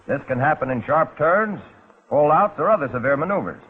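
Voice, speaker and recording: A man's voice: on the high side, lively intonation, fairly quick, slightly rough. An American man narrating a 1940s instructional film in a scripted, instructional way with folksy, wry touches. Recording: muffled, phone-like 1940s film soundtrack.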